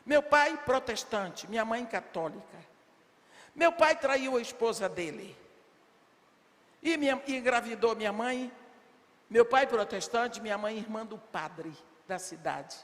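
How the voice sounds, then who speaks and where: An older woman preaching a sermon to a women's congress, emphatic, with rhetorical questions and bursts of shouting. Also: a little high, expressive, measured pace. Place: large church hall. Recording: long reverb of a big hall.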